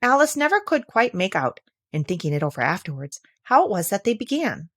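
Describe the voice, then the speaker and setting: A woman narrating a classic children's story aloud in an expressive, scripted storytelling style. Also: mid-range voice, fairly quick, no echo, clean studio sound. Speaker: audiobook reader.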